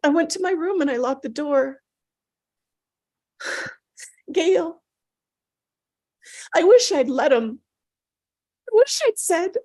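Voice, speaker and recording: A woman playing a grieving, guilt-ridden mother with halting, anguished delivery in a dramatic script reading. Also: very high voice, darkish tone, full character voice. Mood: anguished, regretful.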